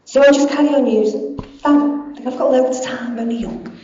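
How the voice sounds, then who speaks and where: A woman's voice: on the high side, brisk, warm tone, a little hiss or hum. A woman, giving a candid, blunt personal recovery talk with plenty of swearing. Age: 40s. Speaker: speaker in recovery. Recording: echoey dull hall sound.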